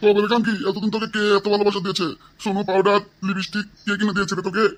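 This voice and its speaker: Man playing jealous old village husband, high voice, extremely quick talker, nagging, blustering, comic drama. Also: little pitch movement, fairly bright tone. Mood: accusing. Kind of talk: exaggerated Bengali comic village drama acting.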